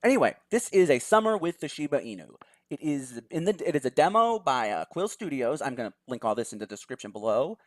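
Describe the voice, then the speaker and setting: A video host reading a visual novel aloud and commenting on it in a dramatic, expressive manner. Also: medium pitch, a little fast, dry recording.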